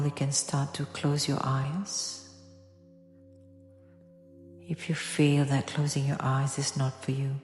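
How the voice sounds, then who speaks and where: A woman with a low voice guides a yoga nidra meditation. She speaks softly and without hurry, leaving long pauses between instructions. Her voice sits in a long, echoing reverb over gentle music with singing bowl tones. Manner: soft, soothing, unhurried instruction with long pauses.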